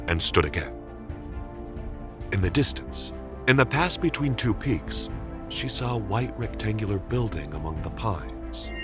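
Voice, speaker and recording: A male storyteller with a very low voice and expressive, wide-ranging intonation. He reads scripted fiction with suspenseful, deliberate phrasing. Music plays clearly behind him. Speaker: storyteller, narrator. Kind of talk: dramatic scripted narration.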